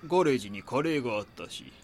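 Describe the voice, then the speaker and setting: Gruff older kansai town chief, wary, swingy intonation, faint noise behind, radio play. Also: male voice, mid-range voice, sing-song, fast talker. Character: gruff older male neighbourhood association chief, wary, talkative. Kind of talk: audio drama dialogue.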